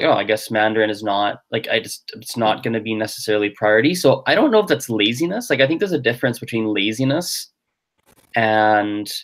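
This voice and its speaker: A young adult man whose first language is Gujarati, chatting as a guest in a casual interview, thinking aloud with lots of fillers. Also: fairly low pitch. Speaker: guest language teacher and podcaster.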